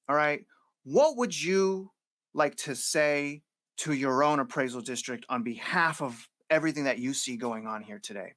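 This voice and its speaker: A man hosting an interview, talking in an animated, conversational way and using fillers. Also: on the high side. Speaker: host.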